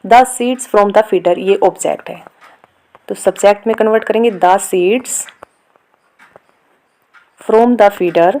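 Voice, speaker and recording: A woman with an Indian accent explaining a lesson step by step as a teacher, reading out examples slowly and deliberately. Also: mid-range voice, warm tone. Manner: instructional, reads example sentences slowly and deliberately. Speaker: grammar teacher. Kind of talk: step-by-step lesson explanation mixing Hindi and English.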